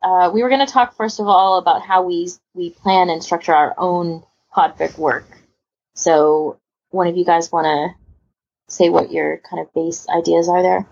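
A woman with a low voice and plenty of fry hosts an informal chat. She rambles along in a self-deprecating way. The recording is a bit muffled and lo-fi. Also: fairly even intonation, normal speed, a little mellow. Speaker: podcast host. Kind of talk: casual panel chat.

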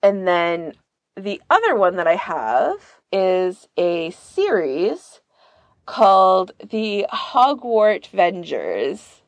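A woman's voice: medium pitch, sing-song, drawn-out words, no echo, dull audio. A woman podcast co-host chatting casually while she gives recommendations. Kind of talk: casual chat, giving recommendations.

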